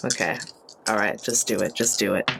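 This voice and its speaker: A woman playing a tabletop game, chatting casually and reacting in an expressive, exclamatory way. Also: very deep voice, normal speed, creaky. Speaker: player. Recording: noticeable background noise, room ambience.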